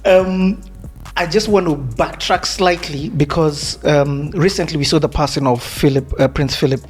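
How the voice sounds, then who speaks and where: A man's voice: fairly high pitch, steady tone, moderate pace, breathy edge, soft background music. A man hosting a casual interview, reacting readily to his guest as he asks questions. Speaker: podcast host, interviewer.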